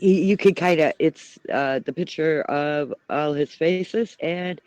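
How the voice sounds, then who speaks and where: Brief: male panel guest from Wisconsin, slightly high voice, dry close sound, chatty. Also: measured pace, smooth, no echo. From Wisconsin, USA. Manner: chatty, relaxed, jokes. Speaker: podcast guest. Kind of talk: casual group discussion.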